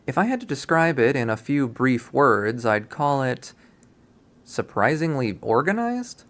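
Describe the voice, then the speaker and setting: A man reading a visual novel aloud as first-person narration, in a casual, expressive style. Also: medium pitch, unhurried. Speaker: visual novel readthrough host.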